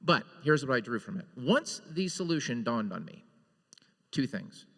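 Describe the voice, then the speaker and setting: A man telling an anecdote on a panel as a TV writer, emphatic, in short deliberate phrases. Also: mid-range voice, big pitch swings, creaky, pushed and bright. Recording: reverberant hall.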